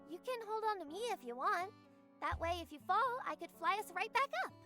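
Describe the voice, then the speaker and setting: A woman puts on a winged courier girl for a visual novel. The voice is high and girlish, with a cheeky, confident, casual delivery. Soft music sits underneath. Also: animated, brisk, heavily acted. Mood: grudgingly helpful.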